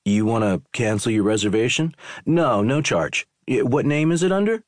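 A man's voice: medium pitch, expressive, normal speed, no room sound, clean studio sound, pitched above the performer's own voice, natural voice. A man voicing a bumbling young desk clerk. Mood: flustered. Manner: fumbling, hesitant. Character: bumbling young male day clerk on the phone.

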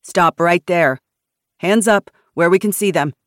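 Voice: medium pitch, animated, fairly quick, dry recording, lightly acted. A tense, commanding armed guard as an audiobook narrator plays him barks clipped orders. The voice is raised and close, in a very dry recording. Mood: barking, tense. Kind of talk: audiobook character dialogue.

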